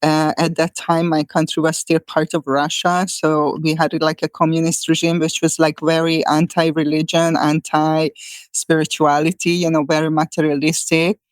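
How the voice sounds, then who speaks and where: A woman's voice: deep voice, level tone, moderate pace, no echo. A woman in her forties from Hungary, speaking with a Hungarian accent, answering interview questions as a shamanic healer in a thoughtful way full of fillers and restarts.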